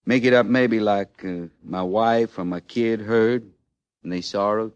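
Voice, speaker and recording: A man performs a doomed outlaw of the American West for a radio drama. He speaks low in a dry, unechoing space, with a rustic Western drawl. His delivery is halting, folksy and full of plain regret. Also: fairly even intonation, smooth, no room sound, muffled recording, natural voice. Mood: sorrowful, pleading. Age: 30s. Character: condemned thirty-six-year-old frontier outlaw, rueful and plainspoken. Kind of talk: mid-century American radio western acting.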